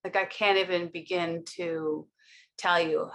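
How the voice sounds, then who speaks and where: Woman host, introduces guest, lowish voice, emotional and halting. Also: fairly even intonation, a bit bright. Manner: emotional, gushing, halting with many "um"s.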